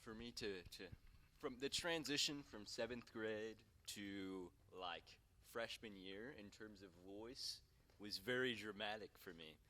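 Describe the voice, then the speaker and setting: A teenage boy hosting a casual school podcast chat, laughing often, with a deep voice. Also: a little slow, bright, forceful tone, slight background noise. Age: teens. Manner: giggly, frequent bursts of laughter. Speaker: student podcast host.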